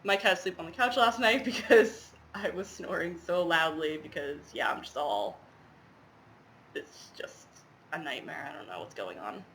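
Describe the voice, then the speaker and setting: A woman's voice: low-pitched, animated, normal speed, fairly bright tone, slight background noise, poor audio. A woman with a stuffy head cold, chatting casually and rambling with lots of fillers as she shows her stitching projects. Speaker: cross-stitch vlogger. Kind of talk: casual show-and-tell chat.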